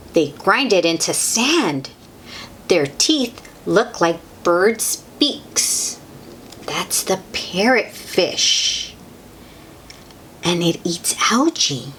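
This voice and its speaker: Woman for children's read-aloud: very slow delivery, lively swooping intonation, simple questions to the young listener. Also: medium pitch, a little hiss or hum. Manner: child-directed, asks the listener questions, exclaims in wonder. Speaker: host reading picture books aloud to children.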